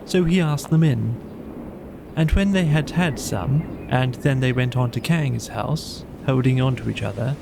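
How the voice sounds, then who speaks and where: Slow-paced, gravelly male storyteller. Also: mid-range voice, background noise. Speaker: audiobook narrator. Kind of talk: scripted bedtime story narration.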